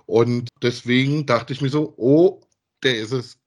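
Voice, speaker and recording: A man's voice: mid-range voice, unhurried. A man co-hosting a podcast, chatting casually and with some amusement as he reviews audio dramas. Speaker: podcast co-host.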